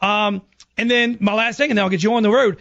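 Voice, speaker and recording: A man's voice: high-pitched, steady tone, fast, sharp tone. A man hosting a radio show, talking in a casual, chatty style. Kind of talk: casual radio banter and prank calling. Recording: slightly muffled lo-fi audio.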